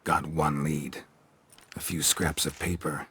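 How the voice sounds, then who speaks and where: An actor playing a stoic monster hunter in a game, with a gruff husky voice and terse, deadpan delivery. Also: male voice, slow, exaggerated voice. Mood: grave, matter-of-fact. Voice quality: gravelly, husky low voice.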